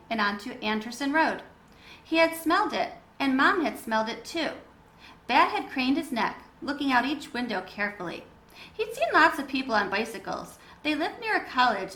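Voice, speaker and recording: Animated, high-voiced woman reading to children. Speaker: children's read-aloud reader. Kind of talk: expressive storybook narration and explanation for children. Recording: roomy echo with background noise.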